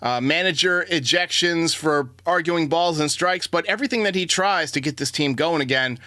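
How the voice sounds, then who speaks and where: A man's voice: fairly high pitch, normal speed, sharp tone, no echo, clean studio sound. A man hosting a sports podcast, giving blunt, opinionated baseball commentary and answering listener voicemails.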